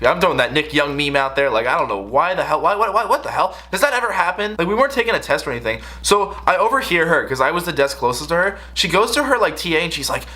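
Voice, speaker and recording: A man's voice: fairly high pitch, fast, hardly any pauses. A young American man telling a casual storytime rant in an exasperated, slangy way. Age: young adult. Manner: exasperated, animated, slangy delivery. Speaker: video creator telling stories.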